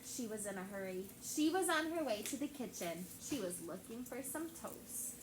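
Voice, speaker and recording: A woman teacher reading a picture book aloud to her class with lively character voices. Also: a little high, moderate pace. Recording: echoey classroom with background noise.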